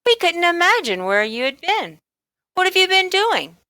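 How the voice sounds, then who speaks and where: A woman's voice: very high-pitched, sing-song, normal speed, sharp tone, no room sound, studio quality, pitched above the performer's own voice, heavily acted. A woman voicing a doting but exasperated mother in a children's storybook, with a sing-song, coaxing manner. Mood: surprised, questioning.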